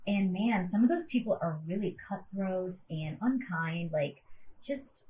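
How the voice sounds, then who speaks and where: A woman's voice: lowish voice, lively intonation, unhurried, dark tone, a little hiss or hum, rough recording. A woman co-hosting a casual podcast, a teacher talking in an upbeat, chatty way with plenty of filler words.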